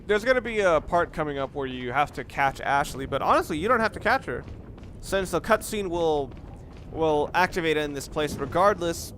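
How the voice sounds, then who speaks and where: A man with a somewhat high voice commentates casually over a video game. He rambles and reasons out loud as he goes. The recording carries clearly audible noise behind him. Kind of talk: casual let's play commentary.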